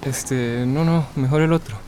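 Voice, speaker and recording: An actor with a Costa Rican accent playing a remorseful young recovering addict in a radio drama, speaking hesitantly and confessionally. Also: male voice, mid-range voice, measured pace, dark tone, a little hiss or hum, natural voice. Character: remorseful young male recovering addict, self-doubting but resolute. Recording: music under the voice.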